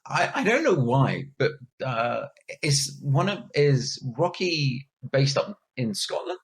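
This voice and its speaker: A man's voice: mid-range voice, big pitch swings, takes it slowly, creaky, breathy edge. A man hosting a podcast interview, asking questions conversationally and often repeating words as he forms them. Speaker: podcast host. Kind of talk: casual interview.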